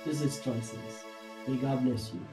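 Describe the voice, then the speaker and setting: Slow-paced Guyanese man, echoey room. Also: medium pitch, fairly even intonation, music in the background. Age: middle-aged. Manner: deliberate, halting phrasing. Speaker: host, reverend. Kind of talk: reflective talk show hosting. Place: book-lined office. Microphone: video call. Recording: roomy, echoey sound.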